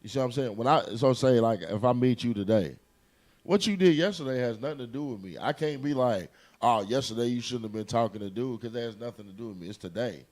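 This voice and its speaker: A man's voice: mid-range voice, quick pace, darkish tone. A man in his late thirties talking frankly and at ease in a group chat, often checking in with "you see what I'm saying". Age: 30s.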